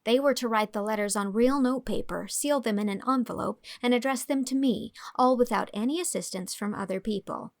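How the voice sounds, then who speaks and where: An actress playing an amused, articulate young schoolteacher narrating a letter to a friend in an audio drama. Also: female voice, a little high, a little fast, hardly any pauses, close to an everyday voice. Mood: fond, amused.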